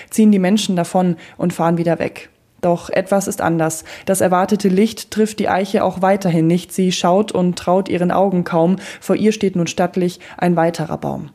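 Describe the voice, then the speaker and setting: A woman narrating a rhymed story in a measured, rhythmic way. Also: mid-range voice, dark tone.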